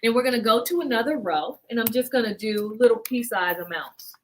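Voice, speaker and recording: A woman's voice: fairly high pitch, expressive, brisk. A woman from the Bahamas presenting and demonstrating her hair-care brand's products, explaining step by step and often checking in with "right?".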